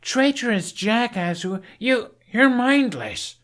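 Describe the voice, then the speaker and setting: A man's voice: very high-pitched, lively intonation, takes it slowly, fairly bright tone, voice pushed up, exaggerated voice. A man voicing a fierce, indignant young Viking warrior woman in an audiobook, with a heated, accusing, emphatic delivery. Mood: outraged. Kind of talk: audiobook character acting.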